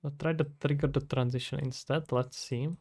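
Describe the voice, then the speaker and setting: Male game-dev streamer, 20s, medium pitch, casual, talks through his work aloud. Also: fairly even intonation, moderate pace, darkish tone. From Czech Republic. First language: Czech. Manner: thinking aloud, talking to himself and viewers. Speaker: streamer, indie game developer. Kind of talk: casual livestream chat while working. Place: indoors at a computer.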